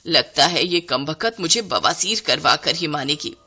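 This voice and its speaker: A woman's voice: low voice, animated, a little slow, sharp tone, slightly rough, voice pushed down, full character voice. A woman voicing an exasperated middle-aged Indian mother-in-law in a cartoon story, scolding and fretting with dramatic exclamations.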